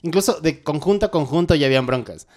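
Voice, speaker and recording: A man's voice: on the high side, lively intonation, fast talker. A man from Ecuador with a Quito accent, hosting a conversational interview in a casual, reflective way and often checking in with his listener. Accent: Quito Ecuadorian Spanish. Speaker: host, musician.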